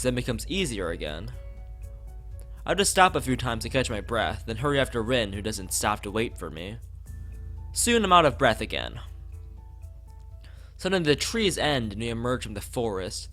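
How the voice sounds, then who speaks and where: A man's voice: fairly low pitch, a bit bright. A man from the United States, a let's play streamer, reading a visual novel aloud.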